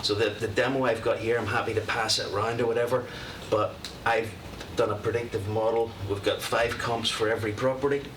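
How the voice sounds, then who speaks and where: Northern Irish man, lowish voice, easygoing presenter, picked up from far off with noise behind. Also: brisk, few pauses. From Northern Ireland. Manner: relaxed, conversational, with filler words. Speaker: software presenter. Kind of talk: presenting at a public meeting. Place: county committee meeting room. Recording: roomy hall, distant mic, steady noise.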